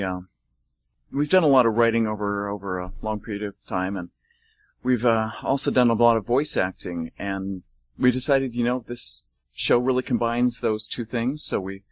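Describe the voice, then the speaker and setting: A young man co-hosting a college radio theater show, talking in a relaxed, hesitant way with fillers. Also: medium pitch, low-quality recording. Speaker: co-host.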